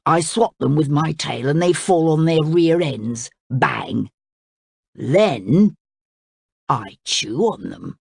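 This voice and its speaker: A woman voicing a greedy, toothy male crocodile, drawling slowly in a low voice. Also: animated, gravelly, darkish tone, husky, dry recording, clean studio sound, full character voice. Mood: eager.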